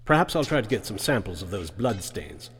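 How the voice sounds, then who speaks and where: A man's voice: fairly low pitch, sing-song, brisk, a bit bright. A man playing an inquisitive, clinical anthropologist in a radio drama, scholarly and analytical. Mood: curious. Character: inquisitive, clinical anthropologist examining old bones. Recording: long echoing reverb.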